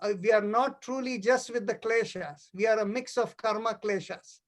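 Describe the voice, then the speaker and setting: A man's voice: sits high, normal speed. Indian-accented man teaching yoga philosophy. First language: Tamil. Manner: didactic, checks understanding with short tag questions. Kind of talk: explanatory spiritual lesson.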